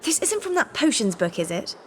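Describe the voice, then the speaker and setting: A woman's voice: a little high, very animated, fairly quick, in a large hall, slight background noise. A woman playing a bookish, cautious teenage witch in a video game. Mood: concerned. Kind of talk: scripted cinematic game acting.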